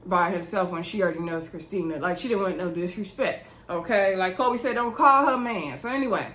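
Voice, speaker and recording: A woman's voice: lowish voice, a little fast, a bit bright. Opinionated woman recapping in an echoey room. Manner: conversational, opinionated, addresses viewers directly. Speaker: YouTube reality show recap host. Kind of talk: casual chatty TV episode recap. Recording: roomy, echoey indoor sound.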